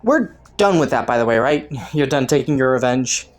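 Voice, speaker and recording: A woman's voice: extremely low pitch, lively intonation, moderate pace. A woman playing a flirty, taunting underworld criminal in a roleplay audio, with a playful, teasing drawl. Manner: playful, teasing drawl with frequent soft chuckles.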